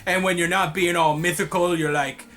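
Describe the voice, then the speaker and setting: A man voicing a rushed, blustering blue male character who sometimes talks like a caveman. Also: high voice, fairly even intonation, fairly bright tone. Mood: hurried.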